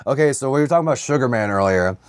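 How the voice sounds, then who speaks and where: A man's voice: mid-range voice, lively intonation, very fast, clean tone, no room sound, clean studio sound. Casually foul-mouthed man on a comedy podcast. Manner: crude, profane, jokey slang. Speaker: podcast co-host.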